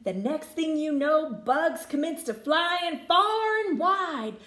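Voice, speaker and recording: Female storybook reader: theatrical, very animated intonation, unhurried pace, roomy recording. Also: high voice. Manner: expressive, theatrical. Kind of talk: dramatic children's storybook narration.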